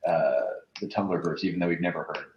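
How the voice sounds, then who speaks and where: A man chatting casually as an interview guest, thinking aloud in a halting, informal way. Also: sits low, lo-fi sound. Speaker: podcast guest, actor and producer. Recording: roomy, echoing sound.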